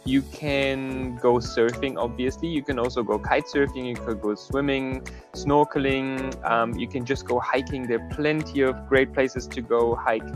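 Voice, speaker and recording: A man casually recommending places, relaxed and a little jokey. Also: medium pitch, moderate pace, over music. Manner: relaxed, jokey, lists things. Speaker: interviewee.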